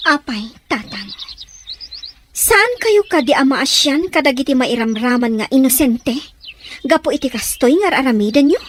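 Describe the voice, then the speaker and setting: Defiant, pleading daughter, played by a woman, high voice, amateur drama, slightly noisy outdoor sound. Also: expressive, normal speed. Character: earnest defiant daughter pleading against her father's raids. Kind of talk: amateur drama dialogue in Ilocano. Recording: outdoor recording with faint noise, birdsong.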